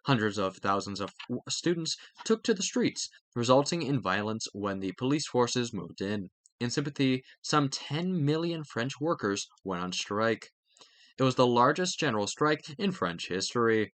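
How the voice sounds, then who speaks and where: Male reader for educational read-aloud, mid-range voice, highly expressive intonation, amateur delivery with occasional stumbles. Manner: halting, stumbles over words. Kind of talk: reading a textbook aloud with brief asides.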